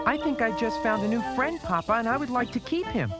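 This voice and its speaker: A woman's voice: fairly high pitch, lively intonation, brisk, over loud music, lightly acted. A woman voicing an innocent, trusting young farm boy in a dubbed cartoon, earnest and easily amazed. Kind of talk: dubbed cartoon fairy-tale acting.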